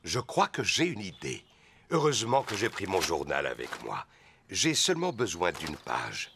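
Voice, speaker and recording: Deep, jolly, animated cartoon daddy pig. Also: male voice, normal speed, background noise, full character voice. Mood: jovial. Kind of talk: children's cartoon dubbing.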